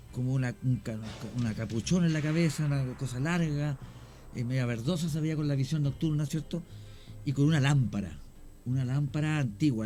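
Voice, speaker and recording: A man, a radio guest slowly telling a paranormal story with many pauses. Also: mid-range voice, warm tone. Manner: slow, halting storyteller. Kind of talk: radio talk show storytelling.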